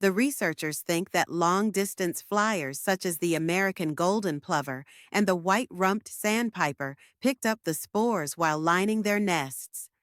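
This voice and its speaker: Woman reading science text out, voice a bit low, super dry sound. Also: unhurried, hardly any pauses, no echo. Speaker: test prep model reader. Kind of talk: scripted read-aloud of an academic passage.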